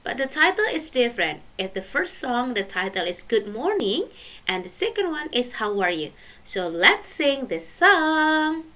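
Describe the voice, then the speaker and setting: A woman teaching an English lesson to junior high students, speaking slowly and carefully. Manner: slow, careful teacher's talk with lively pitch.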